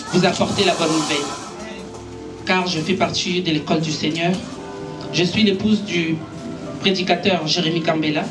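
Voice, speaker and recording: Woman preacher, Italian native speaker, distant mic. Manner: earnest, exhorting, rising in pitch and force when preaching. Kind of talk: sermon. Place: church gathering in a makeshift space.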